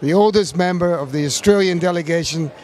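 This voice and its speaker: A man's voice: on the high side, fairly quick, a little mellow. A man interviewing an older guest outdoors, asking polite, leading questions. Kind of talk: broadcast interview.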